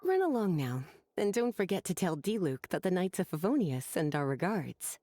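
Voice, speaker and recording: A woman's voice: fairly low pitch, big pitch swings, normal speed, a little hiss or hum. A woman playing a languid, doting witch teacher in a video game, coaxing and playful. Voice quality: soft, breathy, mellow. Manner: coaxing, playful, drawling pet names.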